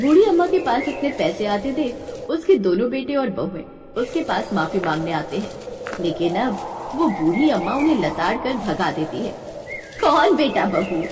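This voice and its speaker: Woman telling a moral story, high animated voice, roomy echo, bit of noise. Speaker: storyteller narrator. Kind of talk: scripted narration of a Hindi moral tale. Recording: echoey reverberant distant voice, faint noise.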